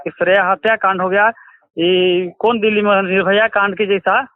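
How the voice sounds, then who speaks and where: बिहारी लहजे वाला आदमी, पतली फ़ोन आवाज़. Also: male voice, high-pitched, little pitch movement, moderate pace, no room sound. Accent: Bihari. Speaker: interviewee, relative of a crime victim. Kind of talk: recounting events in a phone interview. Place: phone call. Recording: tinny, choppy phone line.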